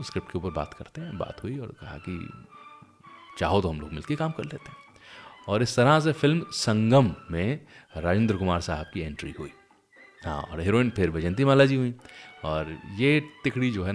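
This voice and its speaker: A man's voice: low voice, very animated, fairly quick, soft background music. A man hosting a show, telling anecdotes in a conversational, dramatic storytelling way. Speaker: radio host. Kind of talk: conversational storytelling about old cinema.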